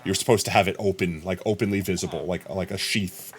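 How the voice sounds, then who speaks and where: A young adult man streaming a game live, chatting casually with his viewers in a hoarse, rambling way. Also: deep voice, fairly even intonation, fast talker. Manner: chatty, rambling, often addressing chat.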